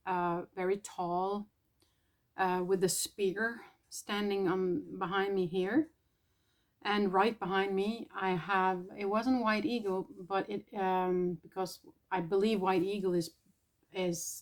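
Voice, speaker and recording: Soft-spoken woman, tentative. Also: mid-range voice. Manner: soft, tentative, pausing often. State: irritated throat, clearing it. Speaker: spiritual channeler. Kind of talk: casual spiritual vlog.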